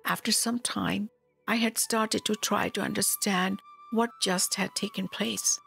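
Slow, creaky-voiced woman narrating. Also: medium pitch, fairly even intonation, a bit bright. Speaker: spiritual teacher, narrator. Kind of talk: scripted memoir narration, read aloud. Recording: music behind the voice. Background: music.

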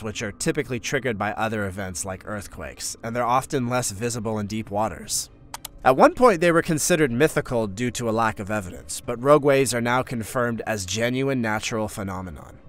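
A man reading scripted documentary narration for a countdown video, measured and informative with the odd wry aside. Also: medium pitch. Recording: faint music bed behind voice.

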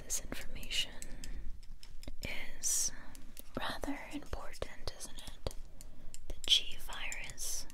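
A young woman playing a tough, determined survivor interrogating a captive in a soft, breathy ASMR whisper, close to the microphone. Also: natural voice. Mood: hushed, menacing. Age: young adult. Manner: slow, deliberate, repeating phrases. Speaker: ASMR roleplay performer.

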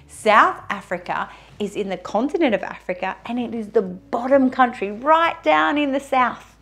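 Young woman, preschool host, high, animated and upbeat. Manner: bubbly and energetic, singing a children's song. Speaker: preschool teacher presenter. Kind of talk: children's lesson with singing. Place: indoor studio in front of a pink backdrop.

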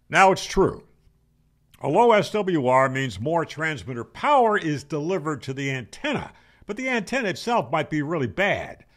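A man's voice: a little high, a bit bright. An American man in his seventies giving a casual, opinionated, wry explanation to camera in a conversational way. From United States. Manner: conversational, opinionated, wry, asks rhetorical questions. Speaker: ham radio video host. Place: home room, indoors. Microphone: desk microphone on boom arm, close.

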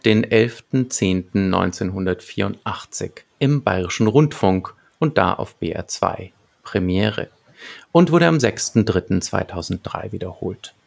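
Tiefer Podcaster mit knarrender Stimme. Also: male voice, deep voice, creaky. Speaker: podcast co-host. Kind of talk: prepared podcast presentation. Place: small book-lined room at home, evening. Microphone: large desk microphone close up, headphones.

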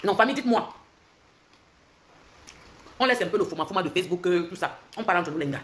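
A woman in her forties with a Cameroonian French accent, ranting on a phone livestream, switching between loud mockery and low, intense lecturing. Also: animated. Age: 40s. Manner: fast and confrontational, swings from loud mocking to low intense lecturing. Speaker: social media commentator. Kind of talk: heated livestream rant. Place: indoors, bright room. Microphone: phone held close.

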